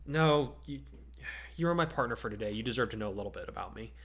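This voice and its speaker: A man's voice: mid-range voice, animated, fast, gravelly, fairly bright tone. A man voicing a reserved, conceding teenage boy hero student in a dramatic fan fiction reading. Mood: reluctant, sighing. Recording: roomy, echoing home recording.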